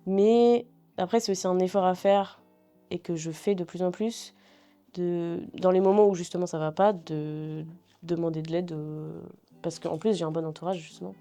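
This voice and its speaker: A young woman guest talking casually in an interview, relaxed and hesitant with many fillers as she thinks aloud. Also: fairly low pitch, normal speed, faint music behind. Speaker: singer-songwriter guest.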